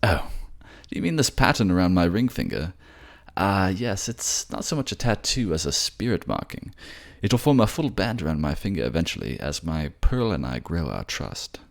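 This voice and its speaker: A kind, courtly prince from a fantasy audio drama, acted out by a man. His voice swings in lively arcs, lifted well above the actor's own low register, as he gently teases and reassures. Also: moderate pace, creaky, hardly any pauses, full character voice. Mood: earnest, explaining. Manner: chatty, courtly, teasing.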